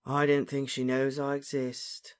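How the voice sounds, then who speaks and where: A man voicing a dazed, lovesick teenage boy wizard in a breathy, hoarse voice for a storybook. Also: fairly high pitch, expressive, exaggerated voice. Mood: dreamy, lovesick. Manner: slow, dreamy, drawn-out delivery.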